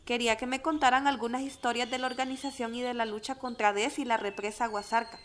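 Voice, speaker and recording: Actress as high-voiced friendly woman activist. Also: steady tone, quick pace, natural voice. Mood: friendly, earnest. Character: Mercedes, friendly woman activist patiently explaining to comrades. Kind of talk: scripted radio drama dialogue, conversational. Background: music.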